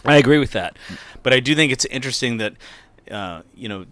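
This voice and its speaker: A middle-aged American man co-hosting a casual film discussion as critic and host, halting and often restarting his phrases. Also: medium pitch, lively intonation, a bit bright, no room sound. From United States, near the Appalachian Mountains.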